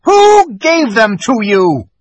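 A man doing a raging shrill witch queen. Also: wildly swooping pitch, takes it slowly, fairly bright tone, husky, no room sound, full character voice. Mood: furious. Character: cruel imperious witch queen, woman.

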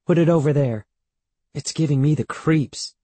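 A man's voice: on the high side, normal speed, mellow, breathy edge, no room sound, voice pushed up. A man voicing a teasing, practical young girl in a matter-of-fact manner for a children's storybook. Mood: insistent. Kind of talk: children's audiobook character voice.

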